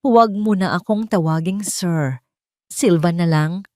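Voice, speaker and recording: A woman acts out a rich, serious man in an audio drama. He sounds calm, assured and protective with a controlling edge, recorded dry. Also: lowish voice, a little slow, no room sound, lightly acted. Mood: tender.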